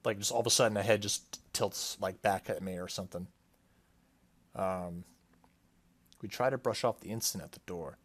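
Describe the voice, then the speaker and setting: A man's voice: on the low side, moderate pace, long pauses, fairly bright tone. A man hosting a gaming video, reading the game's text aloud and breaking off into casual asides. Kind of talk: playthrough commentary mixed with reading game text aloud. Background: game music behind the voice.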